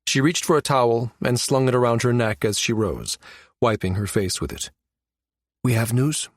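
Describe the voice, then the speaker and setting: A man's voice: medium pitch, moderate pace, no echo. Male narrator, mid-range voice, science fiction audiobook read, very dry close sound.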